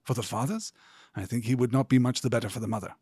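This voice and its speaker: A man voicing a stern Victorian lawyer from a novel, speaking in a measured, formal, rhetorical and wry way. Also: mid-range voice, a little mellow, slightly rough, no echo, studio quality, close to an everyday voice. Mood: measured, probing. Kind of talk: audiobook-style literary reading.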